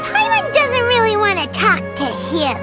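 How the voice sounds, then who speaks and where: A woman's voice: very high voice, huge pitch swings, normal speed, over loud music, heavily acted. A woman voicing a small, cheeky, childlike fairy companion in a video game, blunt and bratty. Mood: wary, teasing. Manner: speaks of herself in third person, bratty. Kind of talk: animated video game voice acting.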